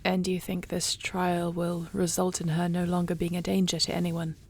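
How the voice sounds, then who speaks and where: A woman's voice: lowish voice, completely flat delivery, normal speed, breathy edge. A woman playing a devoted dragon queen and wife, fierce yet tender, speaking formally and intimately in an audio roleplay. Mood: composed, caring. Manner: intimate, formal storybook speech.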